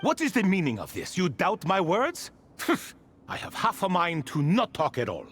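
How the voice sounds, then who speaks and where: A man's voice: high voice, wildly swooping pitch, normal speed, a bit bright, exaggerated voice. A man voicing an ancient, whimsical and prideful sealed ibis spirit king in a video game, telling tales in grand archaic phrasing. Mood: indignant. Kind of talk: scripted game dialogue.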